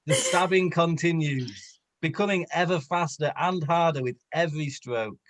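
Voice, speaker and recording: A man's voice: on the high side, normal speed, fairly bright tone. A man reading a story aloud for comedy with dramatic delivery, stumbling and correcting himself. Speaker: co-reader on a comedy stream.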